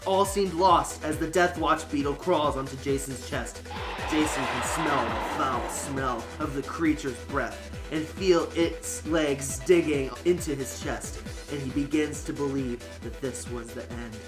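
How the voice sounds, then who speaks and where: A man's voice: medium pitch, a little slow, music in the background. A man reading scripted narration for an amateur fantasy reader's theater.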